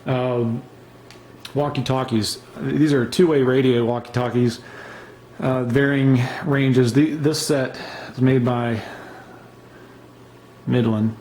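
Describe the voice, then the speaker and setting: A relaxed man talks through his survival gear off the cuff, full of ums and restarts. His voice is mellow and his pitch stays flat and even. A faint hiss sits under the recording. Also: slow pace, pauses often, low-quality recording. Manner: relaxed, unscripted, many fillers and restarts. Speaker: gear reviewer. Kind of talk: casual show-and-tell review.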